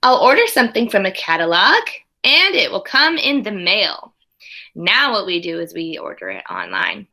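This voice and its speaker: A woman's voice: mid-range voice, sing-song, moderate pace, gravelly, pushed and bright. A woman teaching young children, reading a storybook aloud expressively and chatting to them in conversational asides. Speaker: early primary school teacher.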